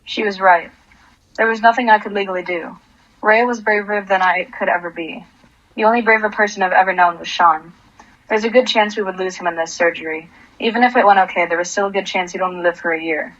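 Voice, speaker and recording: A woman's voice: mid-range voice, steady tone, a little fast, a bit bright, highs cut off, natural voice. A woman playing a bitter, desperate grieving mother in an anguished, confessional radio drama performance. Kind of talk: radio drama acting with first-person monologue.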